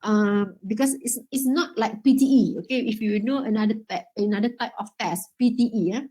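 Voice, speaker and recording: A woman's voice: fairly high pitch, steady tone, brisk, mellow. Chatty woman teaching. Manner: chatty, frequent "okay?" and "right?" checks. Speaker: IELTS workshop instructor. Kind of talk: casual workshop teaching.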